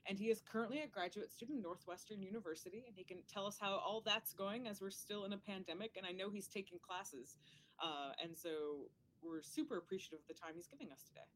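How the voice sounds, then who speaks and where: A woman's voice: medium pitch, fast, a little hiss or hum, rough recording. A woman hosting a podcast, upbeat and self-deprecating, often saying "like". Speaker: podcast host. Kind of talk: casual chat.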